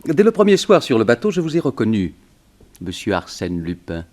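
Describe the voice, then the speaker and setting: An actor playing a cocky, urbane burglar with an ironic, theatrical delivery in a 1960s French radio serial. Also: male voice, mid-range voice, wildly swooping pitch, darkish tone. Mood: confident, mocking. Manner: ironic, theatrical, drawn-out emphasis. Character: cocky, urbane English burglar, mocking and sly.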